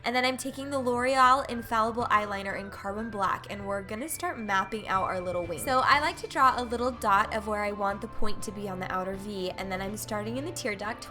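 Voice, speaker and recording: A young woman with a congested, stuffy voice from a cold, chatting upbeat through a makeup tutorial as a voice-over. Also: medium pitch. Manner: chatty, upbeat product walkthrough. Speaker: beauty vlogger. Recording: faint music underneath.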